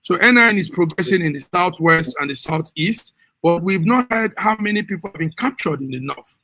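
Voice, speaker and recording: Bright-voiced Nigerian man hosting. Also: high voice, lively intonation, a little fast, dry recording. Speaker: discussion host. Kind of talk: moderating a panel discussion.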